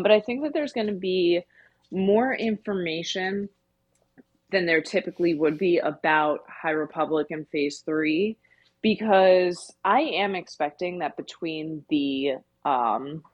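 A woman's voice: lowish voice, breathy edge, no room sound. A woman co-hosting a podcast, explaining things casually in long winding sentences. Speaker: podcast co-host.

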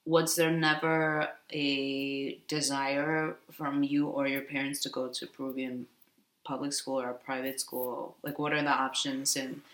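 A woman hosting a podcast, introducing the show and reacting to her guest with quick interjections. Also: extremely low pitch, level tone, fairly bright tone, reverberant, low-quality recording. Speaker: podcast host. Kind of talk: casual interview chat.

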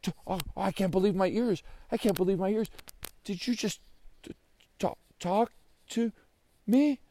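A man voicing an excitable, disbelieving young boy in a children's story, stammering and exclaiming. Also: medium pitch, unhurried, natural voice. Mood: astonished. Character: astonished, excitable young boy who discovers a talking bird.

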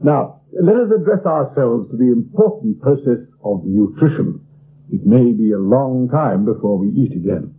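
An actor playing a shrewd, commanding gentleman detective in an old radio drama, urbane and theatrical, with a British accent. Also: mid-range voice, animated, normal speed, husky. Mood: brisk, satisfied. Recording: muffled, lo-fi vintage radio recording.

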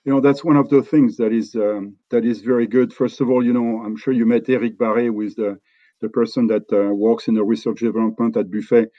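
A middle-aged man from France with a French accent, talking in a relaxed interview and often restarting his sentences. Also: mid-range voice, no room sound, dull audio. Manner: halting, many restarts and fillers. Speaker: company executive guest.

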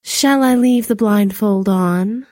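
A young goddess wife played by a woman in a storybook tale, loving and playful. Her delivery is sweet and coy, swinging freely in pitch, with a very dry sound. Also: animated, a little slow, no echo.

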